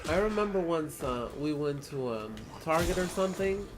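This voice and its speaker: A young American man reminiscing casually with his friend in an informal, halting way. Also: fairly high pitch, lively intonation. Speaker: friend of the host. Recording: noisy home recording.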